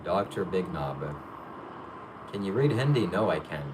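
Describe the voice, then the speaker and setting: A man hosting a casual live Q&A, reading viewer questions aloud and answering them briefly in a matter-of-fact, low-key way. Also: very animated, measured pace, noisy background. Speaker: livestream host.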